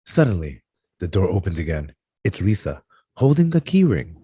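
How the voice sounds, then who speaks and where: A man with a very low, husky voice reads screenplay stage directions at a table read. His tone is dark and mellow, yet his pitch moves around in a lively way. He now and then trips on a word and corrects it. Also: normal speed, no echo. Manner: stumbles over words and corrects himself.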